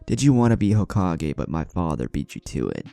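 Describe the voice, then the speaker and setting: A man plays a defiant teenage ninja in an audio story, mocking and coolly confident. The voice is very low and gravelly, with deliberate phrasing. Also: big pitch swings, mellow, no room sound, full character voice. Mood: taunting, menacing.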